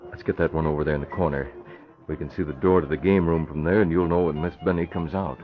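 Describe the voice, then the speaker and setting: An actor playing a composed, genial gentleman investigator in an old radio crime drama. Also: very deep voice, fairly even intonation, fast. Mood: shrewd, musing. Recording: muffled old radio recording, faint music.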